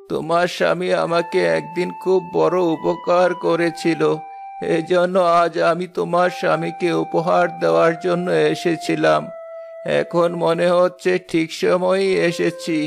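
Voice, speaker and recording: A man voicing a benevolent old wandering sage in a children's fairy-tale cartoon, creaky and reassuring in a fatherly way. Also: sits high, little pitch movement, slow, music in the background, exaggerated voice. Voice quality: creaky, gravelly.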